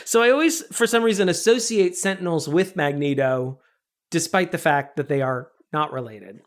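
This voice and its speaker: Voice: mid-range voice, lively intonation, moderate pace. A podcast host in a casual, comedic chat about pop culture, acting out mock quotes while riffing.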